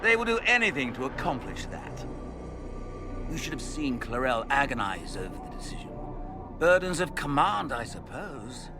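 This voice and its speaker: Smug theatrical villain mage, swooping delivery. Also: male voice, medium pitch, very animated, normal speed. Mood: mocking. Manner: gloating, mocking, theatrical delivery. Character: smug theatrical villain, scheming cult mage serving a dark master. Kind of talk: dramatic fantasy video game acting. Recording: background music under the voice.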